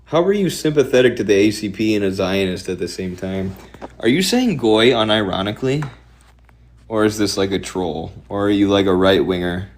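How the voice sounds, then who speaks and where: A Midwestern man on a live stream, riffing on politics in a loose, rambling way with a dry, sardonic edge. His voice sits fairly low, and his delivery jumps quickly from point to point. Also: lively intonation. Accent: Midwestern American. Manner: rambling, fast-shifting, sardonic.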